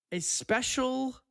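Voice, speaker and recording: A man's voice: very high voice, vocal fry, a bit bright, clean tone. A man hosting a podcast, moving between casual chat and scripted scene narration.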